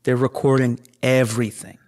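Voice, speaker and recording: A man's voice: medium pitch, little pitch movement, normal speed, darkish tone, lightly acted. Casual male spaceship crewman, acted. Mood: explaining, confiding. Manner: casual, conversational. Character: guarded but chummy spaceship crewman, adult man. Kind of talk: naturalistic sci-fi audio drama dialogue.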